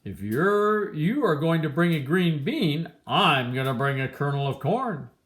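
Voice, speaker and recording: A woman voicing storybook villagers who are grudging and competitive, with emphatic, drawn-out speech. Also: low voice, very animated, pitched below the performer's own voice. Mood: boastful. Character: assorted villagers, grudging then eager to outdo each other.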